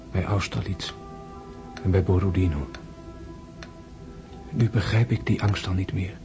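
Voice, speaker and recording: Male actor as dying wounded man for radio drama: low, very slow, hushed, pensive, detached from the world. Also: natural voice.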